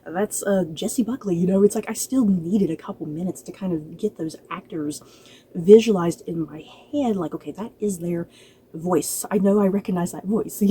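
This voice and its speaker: A woman with a soft-edged, mellow voice talks casually as a reviewer addressing her viewers. Her delivery is conversational and a little halting, with firm emphasis on opinions. There is some background noise on the recording. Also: fairly low pitch. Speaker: book and film reviewer.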